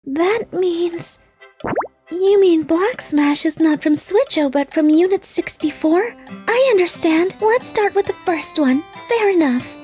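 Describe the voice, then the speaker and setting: A woman voicing a shy, very high-voiced lonely young wife. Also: a little slow. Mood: chatty. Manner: soft, pleading, hesitant. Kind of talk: anime-style manga dub acting. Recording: faint background music.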